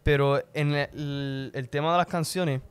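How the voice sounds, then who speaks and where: A man who is a native English speaker, talking casually about faith as a podcast co-host, often pausing to find words and slipping into English. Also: a little high, fairly even intonation, smooth, dry recording. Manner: halting, searches for words, slips into English. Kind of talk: casual religious discussion.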